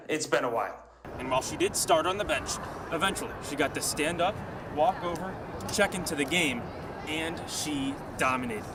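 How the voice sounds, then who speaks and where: Higher-voiced male sports announcer, noisy background. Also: fairly bright tone. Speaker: sports reporter and play-by-play announcer. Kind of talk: scripted TV sports reporting and lacrosse play-by-play commentary.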